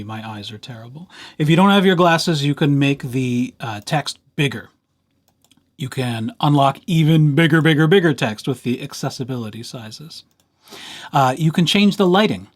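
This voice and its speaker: A man giving an informal software tutorial as a library instructor, talking conversationally with lightly playful asides. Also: medium pitch.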